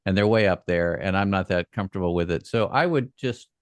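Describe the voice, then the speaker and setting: A man hosting a podcast interview, asking halting questions in an easygoing way with short backchannels. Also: deep voice, clear, resonant tone, dry recording. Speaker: podcast host. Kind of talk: casual interview chat.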